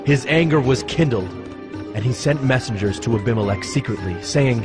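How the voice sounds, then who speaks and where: A man with a mid-pitched voice narrates dramatized scripture from a script. Music plays clearly behind him. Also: expressive, normal speed.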